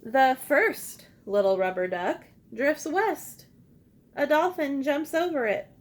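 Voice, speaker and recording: A woman reading a picture book aloud to young children like a teacher, expressive and encouraging, pausing to ask simple questions and invite repeats. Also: high voice. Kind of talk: interactive children's read-aloud with call-and-response prompts.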